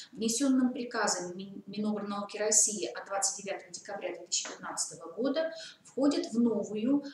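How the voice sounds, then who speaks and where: A woman giving a formal lecture at a seminar, often pausing with hesitant fillers. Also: mid-range voice, a little mellow. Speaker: seminar speaker. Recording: echoey room, mic far off, faint noise.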